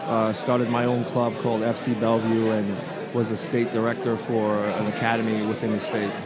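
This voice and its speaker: A man from Trinidad and Tobago, a soccer club director, answering interview questions in a relaxed way with frequent ums. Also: lowish voice, moderate pace. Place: busy bar at a convention. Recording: loud crowd chatter behind.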